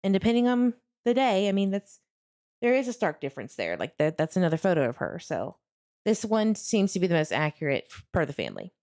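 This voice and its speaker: A woman's voice: mid-range voice, big pitch swings, fairly quick, darkish tone. A woman hosting a true crime livestream, commenting casually and earnestly as she talks directly to her viewers.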